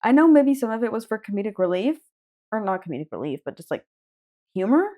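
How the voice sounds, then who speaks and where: A woman's voice: medium pitch, big pitch swings, quick pace, narrow, tinny audio. A woman co-hosting a casual podcast, chatting in an informal, effusive way. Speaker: podcast co-host. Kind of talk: casual book chat.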